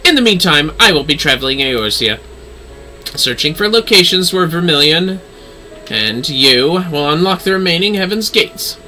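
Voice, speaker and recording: A man's voice: a little high, animated, normal speed, sharp tone, faint music behind. A man voicing a haughty, stern young noblewoman astrologer from a game, formal and scolding. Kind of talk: game dialogue read-aloud.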